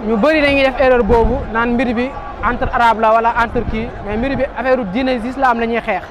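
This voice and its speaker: Impassioned high-voiced man at a rally. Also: fairly even intonation, a little fast, poor audio. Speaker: rally participant. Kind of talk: street interview. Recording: crowd and street noise, outdoor rally.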